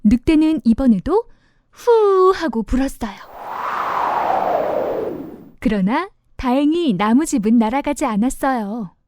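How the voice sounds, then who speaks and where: A woman's voice: high-pitched, lively intonation, unhurried, pauses often, a little mellow, a little hiss or hum. A woman narrating a children's storybook as storyteller, expressively and simply for young listeners.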